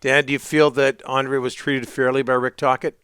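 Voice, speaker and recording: A man's voice: mid-range voice, level tone, moderate pace, fairly bright tone, smooth, no room sound. A man hosting a sports talk radio show and questioning a guest. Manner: reads sponsor copy, then asks questions.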